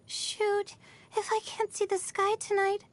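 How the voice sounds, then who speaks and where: Sleepy anxious girl student, very high voice, slow hesitant delivery, anime game character. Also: completely flat delivery, no room sound. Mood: tired, distressed. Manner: hesitant, drowsy, sighing. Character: sleepy, anxious young female student stargazer. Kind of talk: anime video game voice lines.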